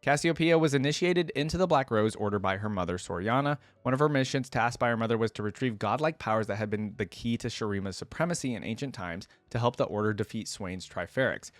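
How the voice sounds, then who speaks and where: A man's voice: medium pitch, animated, fast talker, hardly any pauses, dry recording. A man hosting a reaction video in an informal, chatty style, commenting and reading lore aloud for viewers.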